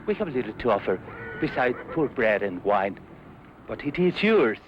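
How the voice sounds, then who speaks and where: Guy doing humble western village priest, solemn, mid pitch, music behind him. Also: very animated, normal speed, muffled recording, close to an everyday voice. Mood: humble, welcoming. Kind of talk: dramatic dialogue in a Western.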